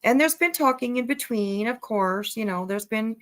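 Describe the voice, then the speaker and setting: A woman's voice: on the high side, expressive, normal speed, fairly bright tone. A woman hosting a casual livestream commentary, talking bluntly and emphatically, repeating phrases for emphasis and swearing casually. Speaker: livestream host.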